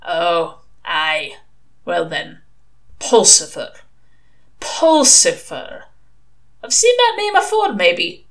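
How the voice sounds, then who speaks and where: A woman voicing a testy, pompous old male witchfinder sergeant, with a gruff rumble, a mixed regional British accent and archaic dialect, in an audiobook. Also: slow, a bit bright. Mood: grave, brooding. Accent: unplaceable mixed British regional. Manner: ranting, booming, archaic dialect.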